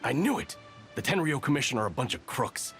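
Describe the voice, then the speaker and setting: An actor playing a hotheaded soldier who grumbles and complains with indignant suspicion. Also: male voice, medium pitch, big pitch swings, brisk, a bit bright, faint music behind.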